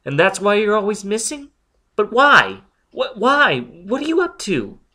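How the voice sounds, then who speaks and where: A man reading aloud, voicing a lonely, nervous young woman telepath who teaches a class, in a prim, high-strung manner. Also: very animated, normal speed, fairly bright tone. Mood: indignant.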